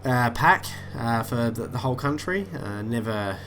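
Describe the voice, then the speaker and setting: A man's voice: mid-range voice, expressive, unhurried, fairly bright tone, a little hiss or hum. A man with a head cold that makes him sound nasal, casually commentating his flight simulator session and often losing his thread. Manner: rambling, many "uh" fillers, easily sidetracked. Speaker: flight sim YouTuber.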